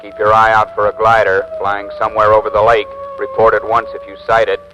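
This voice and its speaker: Police dispatcher, very flat, lowish voice, clipped, radio effect, music behind. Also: male voice, brisk, low-quality recording. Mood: deadpan. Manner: deadpan, clipped announcing. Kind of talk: 1940s radio acting.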